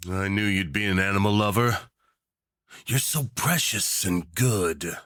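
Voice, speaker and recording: A man's voice: medium pitch, fairly bright tone, no echo, clean studio sound. Man playing a swooping, sugary, unhinged lovesick tyrant. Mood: adoring. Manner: theatrical, saccharine and teasing, with pet names. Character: doting, unhinged, rich tyrant, obsessively in love. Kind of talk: yandere ASMR roleplay acting.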